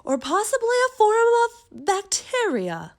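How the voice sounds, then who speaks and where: A woman's voice: very high-pitched, huge pitch swings, unhurried, voice pushed up, full character voice. A woman voicing self-important, baffled male medical experts in a storybook, with a pompous, declarative delivery. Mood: speculating.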